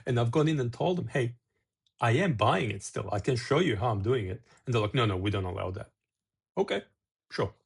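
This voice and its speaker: A man's voice: medium pitch, lively intonation, a little fast, vocal fry, slightly rough. A middle-aged man from Serbia hosting a casual talk-to-camera video, rambling with restarts and asides. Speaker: video host.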